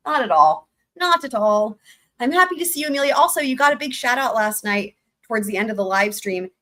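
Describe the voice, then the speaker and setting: A woman hosting a livestream in casual chat, rambling with many fillers and greeting viewers by name with upbeat shout-outs. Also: mid-range voice. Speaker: livestream host.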